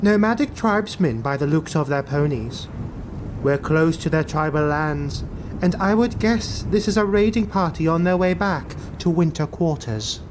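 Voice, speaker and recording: A man voicing a haughty, disdainful dark elf knight who defers to his lord in a fantasy audiobook. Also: on the high side, lively intonation, moderate pace, noisy background, natural voice. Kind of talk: fantasy audiobook character acting. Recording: music bed behind the voice.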